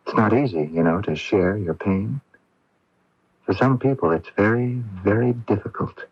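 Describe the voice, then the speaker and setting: A kind young medical intern with a husky, lowish voice, gently evasive and halting as he soothes. It is 1980s radio drama, heard through a dull, lo-fi broadcast recording. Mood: gentle, uneasy.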